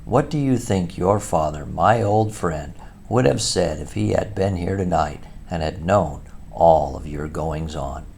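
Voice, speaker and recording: A man's voice: low voice, unhurried, gravelly, darkish tone, slight background noise. A man voicing a stern, dry-voiced old male badger in a storybook reading. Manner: severe, dry, thin-voiced, then kindly.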